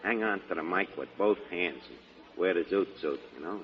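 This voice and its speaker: An actor playing a brash, slangy, pushy wisecracker in 1940s radio comedy. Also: male voice, low-pitched, expressive, moderate pace, heavy background noise. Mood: bossy, scheming. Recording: muffled 1940s radio broadcast.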